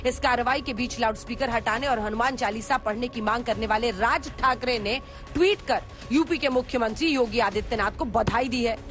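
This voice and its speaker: A high-pitched woman delivers a scripted news report. Her narration is emphatic and dramatic, with music playing faintly underneath. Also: fairly quick, fairly bright tone. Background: background music.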